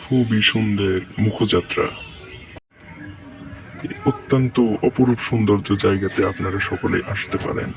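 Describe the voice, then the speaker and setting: A man with a fairly low voice and a Bangladeshi accent narrates a walking travel vlog. He speaks to viewers as their guide, recorded outdoors a little way from the microphone. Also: a little slow, long pauses, recorded from a distance, highs cut off. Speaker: vlogger, tour guide to viewers. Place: outdoors, walking around grounds.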